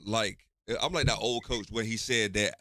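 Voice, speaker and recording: A man's voice: fairly low pitch, normal speed, pushed and bright, dry recording, clean studio sound. A man in his forties co-hosting a casual podcast, blunt and emphatic with stammered restarts. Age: 40s. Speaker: podcast co-host.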